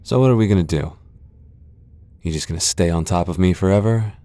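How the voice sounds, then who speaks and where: A very deep-voiced, centuries-old male vampire lord, acted out by a man for a fantasy audio roleplay. He speaks with haughty, sardonic disdain. His delivery is theatrical and taunting, that of a proud immortal who looks down on his listener. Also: a little fast, mellow, no echo, close to an everyday voice. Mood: wry, grudging. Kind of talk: ASMR fantasy roleplay acting.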